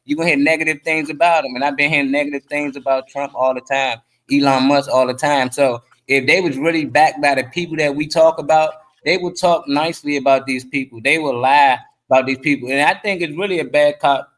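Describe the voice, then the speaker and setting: A man's voice: on the high side, steady tone, fairly quick, few pauses, a bit bright. A man giving his opinions casually on a talk show, conversational, arguing by example and quoting others.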